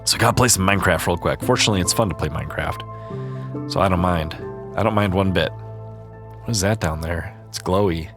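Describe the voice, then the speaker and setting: A man with a low, unhurried voice chats easygoing through his gameplay and reads viewer comments aloud. His nose sounds a little stuffy, and soft music plays behind him. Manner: calm, easygoing, chatty. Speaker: gaming channel host. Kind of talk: relaxed commentary while reading comments.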